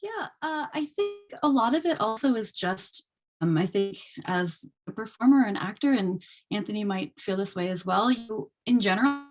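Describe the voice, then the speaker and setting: A woman's voice: fairly high pitch, expressive, normal speed, music in the background. A woman speaking as an actor guest on a video call, giving casual, reflective answers about her craft.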